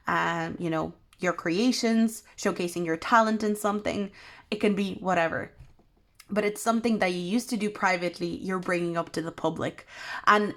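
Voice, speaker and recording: A young woman reads tarot on camera, her voice worn and hoarse because she is unwell. She talks softly and wanders, filling gaps with ums, at a middle pitch. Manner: soft, meandering, many ums. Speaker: tarot reader. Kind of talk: pick-a-card tarot reading.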